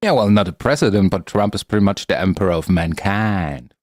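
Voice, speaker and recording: A man's voice: on the low side, fairly quick, a little mellow, no echo, clean studio sound. A man from Europe chatting casually, blunt and teasing, sometimes hesitating over words. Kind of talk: casual chat.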